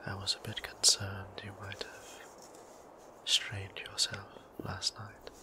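Guy doing a soft-spoken vampire priest, formal old-timey manners, flat even whisper. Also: on the low side, slow pace. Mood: tender. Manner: whispered, courtly and old-fashioned politeness. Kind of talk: ASMR roleplay.

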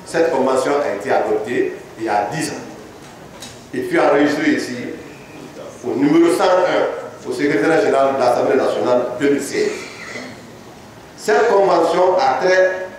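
A middle-aged man reading a formal committee report aloud at a podium, measured and listing dates and numbers. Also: fairly high pitch, a little hiss or hum. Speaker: parliamentary committee rapporteur. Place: large hall, indoors. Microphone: several podium microphones, recorded from across the room. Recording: echoey hall from far off.

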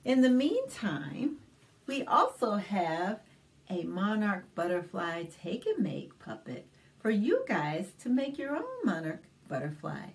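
A middle-aged American woman hosting a storytime, reading a picture book aloud to young children in an expressive, deliberate way with simple explanations. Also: medium pitch. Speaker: children's librarian and storytime host. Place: small indoor room, plain backdrop.

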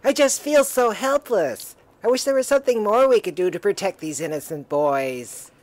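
A woman's voice: medium pitch, normal speed, darkish tone, dry recording, lightly acted. A woman voicing an anxious, tender young woman from a comic book, with melodramatic delivery. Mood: troubled.

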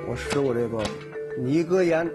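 A man's voice: mid-range voice, a little fast. A man, plain and emphatic as he explains his craft. His voice sits mid-range, recorded in a cluttered workshop, documentary music playing underneath. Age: 60s. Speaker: woodworker. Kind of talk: documentary interview.

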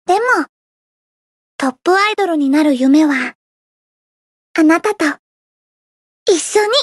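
Very high-voiced theatrical gothic anime girl. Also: expressive, unhurried, no room sound, studio quality. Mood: tender, resolute. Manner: switches between pompous archaic declarations and soft girlish plain talk. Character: theatrical gothic teenage idol girl, grandiose yet sweetly shy. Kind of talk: Japanese anime idol game voice lines.

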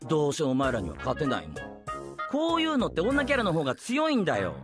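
Anime audio drama role: exasperated teen lad, highly animated delivery, blunt and sarcastic. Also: male voice, quick pace, music in the background. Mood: dry, deadpan. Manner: blunt, dry, explosive retorts. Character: sarcastic, exasperated teenage boy adventurer.

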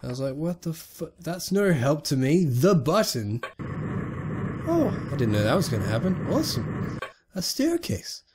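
A sick young man giving casual, excitable let's play commentary on a retro game, swearing often and talking to the characters on screen. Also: a little high, normal speed, a little hiss or hum. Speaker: gaming YouTuber.

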